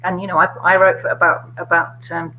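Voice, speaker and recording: An older woman with a London middle-class accent, chatting casually about politics with many fillers and quick laughs. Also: lowish voice, little pitch movement, phone-quality sound, slight background noise. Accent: London middle-class English. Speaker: guest, former MP.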